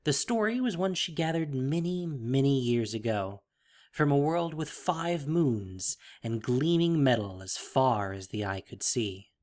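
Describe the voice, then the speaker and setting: A man's voice: mid-range voice, expressive, measured pace, darkish tone. A man narrating a fantasy story as scripted dramatic prose.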